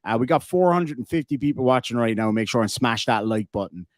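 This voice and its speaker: A man's voice: mid-range voice, lively intonation, brisk, no room sound, clean studio sound. A man with an Irish accent hosting a live stream, joking sarcastically and reading out viewer messages. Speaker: livestream host reacting to videos. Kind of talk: casual live commentary.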